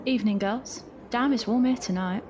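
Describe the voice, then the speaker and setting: A woman's voice: a little high, moderate pace, background noise, natural voice. A woman playing a plain-spoken, cynical factory worker in an audio drama. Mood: friendly.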